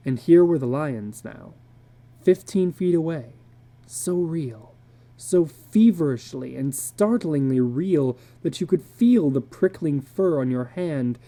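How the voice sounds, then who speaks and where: A woman narrating a literary story as a dramatic reading, expressive and deliberate in her delivery. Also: sits low.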